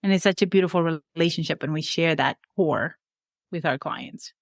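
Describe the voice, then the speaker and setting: A woman's voice: sits low, moderate pace, vocal fry, no echo, studio quality. A young adult woman with a Venezuelan accent, a life coach speaking earnestly as a podcast guest. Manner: earnest, reflective, speaks of faith warmly. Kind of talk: conversational interview.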